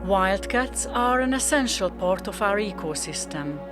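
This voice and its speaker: A woman's voice: medium pitch, lively intonation, measured pace, over music. A woman reading scripted, descriptive narration for a radio drama.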